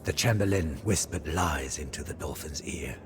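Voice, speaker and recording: A man playing a weary, devoted medieval French soldier who narrates game cutscenes in a grave, theatrical, measured way, with a gravelly, breathy voice. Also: very deep voice, noisy background. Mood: reverent, hushed. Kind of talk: dramatic scripted storytelling for game cutscenes. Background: music.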